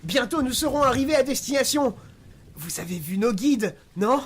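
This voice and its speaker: An actor playing a sinister, half-mad sailor who gleefully foretells doom in a slow, ominous French audio drama delivery. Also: male voice, very high voice, lively intonation, slight background noise. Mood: eerily gleeful.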